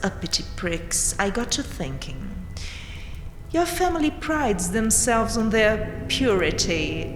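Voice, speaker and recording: A woman playing a haughty, mocking vampire queen in a dramatic audio roleplay, taunting with theatrical mock sweetness and seductive menace. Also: mid-range voice. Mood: scornful. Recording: roomy, long hall-like reverb. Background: dark castle ambience music.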